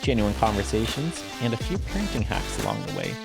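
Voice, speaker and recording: A man's voice: lowish voice, wildly swooping pitch, brisk, vocal fry, over loud music. A man co-hosting a parenting podcast, reading a scripted intro and then chatting easily with fillers. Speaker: podcast co-host.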